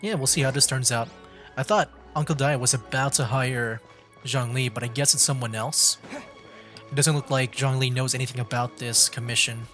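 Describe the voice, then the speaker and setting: A man's voice: medium pitch, fairly even intonation, moderate pace, a bit bright. Easygoing male game streamer, music behind. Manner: easygoing, chuckling, rambling asides. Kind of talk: casual game stream commentary.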